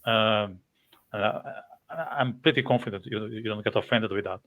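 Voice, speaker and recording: A man's voice: on the low side, normal speed, narrow, tinny audio. A man hosting a podcast interview, speaking hesitantly with frequent fillers and restarts. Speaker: podcast host. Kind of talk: casual interview.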